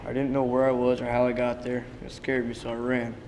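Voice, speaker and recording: A man acting in a play reading as a frightened, confused man losing his memory, subdued and halting, in a reverberant, noisy hall. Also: mid-range voice, completely flat delivery, darkish tone, lightly acted. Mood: scared. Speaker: drama student actor. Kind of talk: dramatic reading of a stage play. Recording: reverberant hall with background noise.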